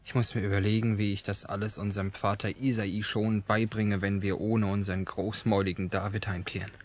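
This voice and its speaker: A male actor as a scornful, gloomy soldier brother. His lowish voice grumbles with sarcasm, radio-drama style, on a somewhat muffled recording. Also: little pitch movement, a little fast, natural voice. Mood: grumbling, gloomy. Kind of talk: radio play acting.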